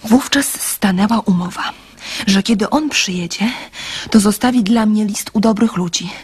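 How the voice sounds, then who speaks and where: An actress playing an anxious, impulsive young woman in love in a radio drama, with a breathy voice. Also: medium pitch, normal speed. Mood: confiding, eager.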